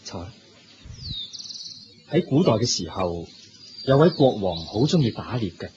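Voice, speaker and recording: A calm kindly buddhist sage, soft dark tone, hong kong cantonese radio drama, lo-fi with faint noise. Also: male voice, on the low side, a little slow. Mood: calm narrating.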